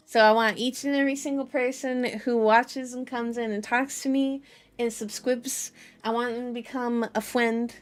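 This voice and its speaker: Female VTuber host, highish voice, playful and childlike, casual stream chatter with light music underneath. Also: fairly even intonation, moderate pace. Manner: playful, childlike phrasing, repeats herself. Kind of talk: casual livestream chat. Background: background music.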